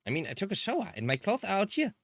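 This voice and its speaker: A man's voice: high voice, huge pitch swings, very fast, fairly bright tone, dry recording, heavily acted. A man who is a native German speaker voicing a level-headed, insistent young woman in a dramatic visual novel read-aloud. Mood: sheepish, teasing.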